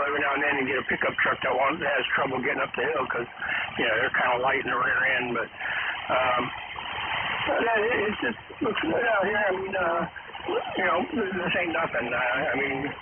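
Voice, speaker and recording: A man from the northern United States chatting casually over ham radio, in a folksy, unhurried way. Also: mid-range voice. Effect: radio transmission. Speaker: ham radio operator calling in. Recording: narrow radio link, very loud static.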